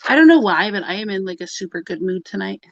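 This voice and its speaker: A woman's voice: mid-range voice, animated, fast talker, gravelly, no room sound. A woman co-hosts a pagan livestream in loose, rambling chat, breaking into laughter often. Her voice sits mid-range and comes through a dull, lo-fi video call. The sound is dry with no room around it. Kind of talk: casual group chat on a live video call.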